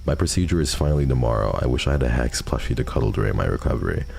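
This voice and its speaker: A man's voice: very deep voice, expressive, racing, gravelly, darkish tone. A man hosting a livestream, chatting casually and answering viewer messages at a close microphone. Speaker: livestream host. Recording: faint background noise.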